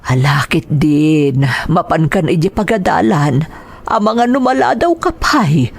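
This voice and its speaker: Frail, husky-voiced ailing mother. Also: low voice, expressive, full character voice. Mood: weak, reassuring. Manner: weak, hesitant, halting. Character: ailing, gentle, self-sacrificing mother of a schoolgirl. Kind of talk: Ilocano radio drama acting.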